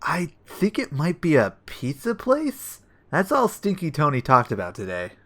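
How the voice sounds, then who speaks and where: A man's voice: on the high side, huge pitch swings, normal speed, fairly bright tone, exaggerated voice. A man playing a dim, earnest police detective in a comedic audio play, befuddled and literal-minded with a whiny manner. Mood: befuddled, defensive. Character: dim, easily confused male police detective, earnest and bumbling.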